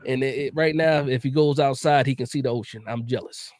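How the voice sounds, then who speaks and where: A man's voice: medium pitch, fairly even intonation, brisk, a little mellow, dry recording, studio quality. A man hosting a casual sports livestream, laid-back and chatty, often repeating words and tossing in "man.". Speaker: podcast host.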